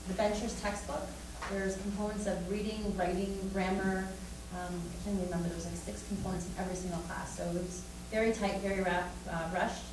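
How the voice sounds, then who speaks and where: A woman's voice: mid-range voice, little pitch movement, fairly quick, few pauses. A woman conference presenter answering audience questions in a casual, chatty and encouraging way. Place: lecture hall. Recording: echoey hall, distant mic, background noise.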